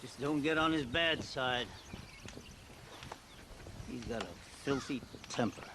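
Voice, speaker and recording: A man's voice: medium pitch, slow pace, natural voice. A man playing an easygoing, folksy hunter with an American Western accent in a game cutscene. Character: folksy, easygoing Western huntsman, brother of a lawman. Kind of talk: video game cutscene acting. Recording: clear outdoor nature noise behind.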